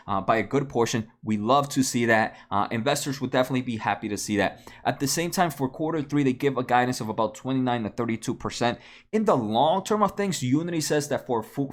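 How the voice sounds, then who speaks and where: A man giving casual explanatory commentary as a video host, often repeating words and checking in with "right?" at the end of phrases. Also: mid-range voice. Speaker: host of a stock analysis video channel.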